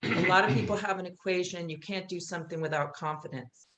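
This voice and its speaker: A woman's voice: lowish voice, fast, a bit bright. A woman podcast guest chatting casually and reflectively, earnest and circling back over phrases for emphasis. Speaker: podcast guest, theatre creator. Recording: roomy echo with faint hiss.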